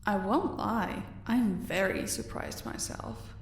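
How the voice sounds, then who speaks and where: A woman's voice: medium pitch, wildly swooping pitch, slow pace, creaky, heavily acted. A woman playing a smug, sadistic crime enforcer in an audio roleplay, with a languid, dry delivery. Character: smug, sadistic female henchwoman, loyal enforcer. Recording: echoey room, far from mic.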